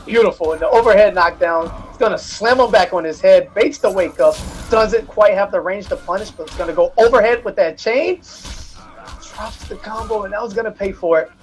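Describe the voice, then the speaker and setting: Hype guy calling a fighting game match, kinda high voice, faint music behind. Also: brisk. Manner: hype, loose, jokes with co-caster. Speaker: esports commentator. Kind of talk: live play-by-play commentary.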